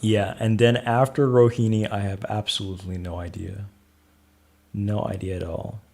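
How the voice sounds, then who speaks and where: A man hosting a casual live astrology Q&A, answering viewer questions in a calm, soothing way and thinking aloud. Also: fairly low pitch, normal speed.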